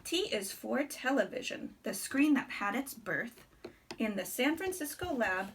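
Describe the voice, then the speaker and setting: Female storybook reader for young children, higher-pitched and very animated, expressive rhyming delivery, simple home recording. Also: unhurried, very noisy. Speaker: read-aloud host reading to a small child. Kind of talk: picture-book read-aloud in rhyme with chatty asides to a child.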